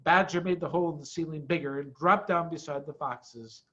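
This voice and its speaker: A middle-aged man reading a children's storybook aloud in an expressive, emphatic way. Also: fairly high pitch, a little fast, dull audio.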